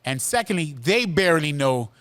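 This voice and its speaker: A man, hosting a relaxed podcast conversation. His voice sits a little high, and he talks earnestly, restarting and repeating words as he works out his point. The sound is close and dry. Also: moderate pace, clear, resonant tone, no room sound. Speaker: podcast host. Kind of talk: casual podcast chat. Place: Miami.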